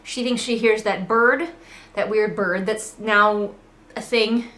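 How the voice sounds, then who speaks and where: A woman's voice: mid-range voice, fairly even intonation, slow, a bit bright, roomy sound. Sarcastic woman giving casual book commentary. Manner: sarcastic, sweary, conversational. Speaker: book critic and host of a readalong.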